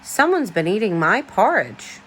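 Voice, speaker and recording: A woman voicing a big, gruff, grumbling daddy bear from a children's storybook. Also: mid-range voice, very animated, unhurried, fairly bright tone, slight background noise. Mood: gruff, suspicious.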